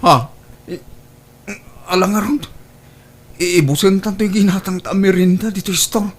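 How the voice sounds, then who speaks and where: A woman playing an eager, fickle young man with a hesitant, excitable manner in an Ilocano comedy drama. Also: medium pitch, measured pace, husky. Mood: concerned. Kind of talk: Ilocano radio-style comedy drama acting.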